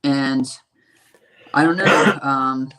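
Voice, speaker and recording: A man with a raspy, hoarse voice, talking about his music in a casual interview and rambling with lots of fillers and swearing. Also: medium pitch, drawn-out words, pushed and bright, rough recording. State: hoarse from a strained voice. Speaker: independent musician.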